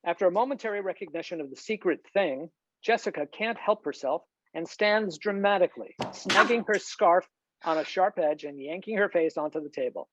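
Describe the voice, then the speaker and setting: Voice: medium pitch, a little fast, a bit bright, no room sound. Dry, slightly dull screenplay narrator. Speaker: narrator reading stage directions. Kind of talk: scripted narration, screenplay table read. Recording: slightly dull video-call audio, dry.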